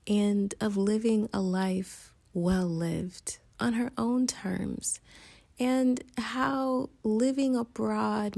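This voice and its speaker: A woman host narrating a voice-over with slow, measured delivery. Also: medium pitch, vocal fry, mellow. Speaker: podcast host.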